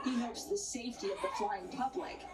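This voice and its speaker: A woman delivers scripted news narration as a reporter. The sound is a little distant from the microphone, with clear background noise behind her voice. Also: fairly high pitch, sing-song, brisk.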